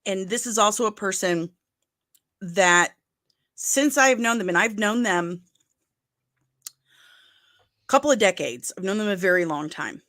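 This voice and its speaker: Casual stream host, middle-aged woman, nasal, talks with emphasis and quick asides, close dry mic. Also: mid-range voice, expressive, fairly bright tone, no room sound. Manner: chatty, emphatic, with asides and laughs. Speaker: pop culture news host. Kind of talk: casual livestream chat.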